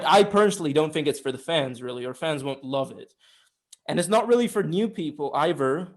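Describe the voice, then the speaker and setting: Opinionated man, slightly high-pitched voice. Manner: opinionated, informal, swears. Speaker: film review podcast co-host. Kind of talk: casual film discussion.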